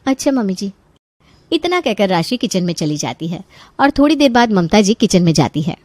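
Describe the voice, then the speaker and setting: A woman's voice: medium pitch, very animated, a little fast, darkish tone, no room sound. A woman narrator reading a scripted story in a storytelling style.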